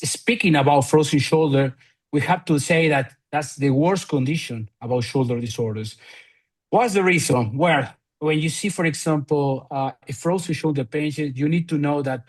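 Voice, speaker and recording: Argentine man in halting English. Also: on the high side. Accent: Argentine Spanish. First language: Spanish. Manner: halting non-native English with frequent fillers and restarts. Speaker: physiotherapist and researcher guest. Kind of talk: conversational podcast interview.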